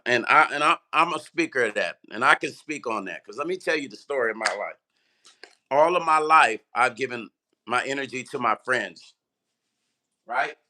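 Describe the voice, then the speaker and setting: A man with a strained, partly lost voice, chatting loosely on a livestream and greeting viewers by name, clearing his throat often. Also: medium pitch, sharp tone. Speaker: music vlogger and producer. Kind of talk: casual livestream chat.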